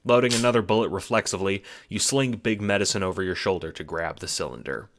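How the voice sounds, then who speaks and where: A man's voice: sits low, quick pace, a bit bright. A man narrating a scripted second-person story in a dramatic storytelling manner. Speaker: narrator and producer. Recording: music under the voice. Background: music.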